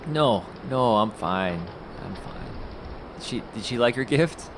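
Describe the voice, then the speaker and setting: A desperate talent scout. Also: male voice, mid-range voice, animated, takes it slowly, background noise. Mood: tender, weary. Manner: frantic pleading, repeating words. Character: desperate middle-aged male talent scout, devoted family man. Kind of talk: audio drama acting.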